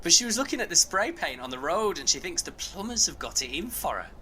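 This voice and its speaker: Bright-voiced, animated chatty neighbour man. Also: fairly high pitch, fast, slight background noise. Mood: chatty, concerned. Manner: conversational, chatty. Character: chatty, neighbourly man sharing local gossip. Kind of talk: audio drama acting.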